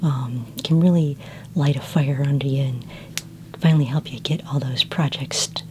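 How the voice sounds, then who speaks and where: A woman speaking in a soft, breathy near-whisper, gently and unhurriedly playing a friendly, helpful librarian in an ASMR roleplay. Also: a bass voice, moderate pace, slight background noise.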